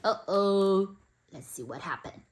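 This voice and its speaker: A woman reading a storybook aloud expressively for toddlers, in simple deliberate phrases. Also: mid-range voice, expressive. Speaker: storybook reader.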